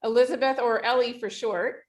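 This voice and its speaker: A woman's voice: fairly high pitch, steady tone, moderate pace, a little mellow. A woman realtor from Canada introducing herself to a group in an online meeting. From Toronto, Canada. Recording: echoey room over video call.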